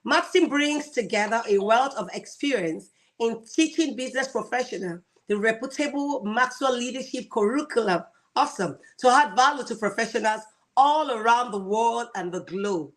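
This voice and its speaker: A woman's voice: fairly high pitch, lively intonation, moderate pace, rough recording. A woman hosting an interview, reading a guest's introduction and asking questions with enthusiasm. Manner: enthusiastic, laughs often. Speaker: host.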